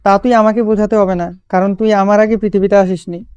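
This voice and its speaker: A man plays an angry middle-aged father in an audio love story. His voice is crisp and clean in a dry recording. He is domineering and stern, never bending. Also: high-pitched, steady tone, fairly quick, darkish tone, no room sound, muffled recording, close to an everyday voice. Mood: threatening.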